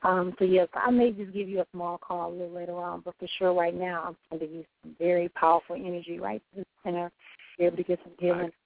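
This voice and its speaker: A woman's voice: fairly low pitch, little pitch movement, fast, vocal fry, no room sound. A woman hosting a call-in radio show, talking in an encouraging, uplifting, preachy way. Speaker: host. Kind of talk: call-in talk radio, motivational. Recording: dry, tinny phone-like line.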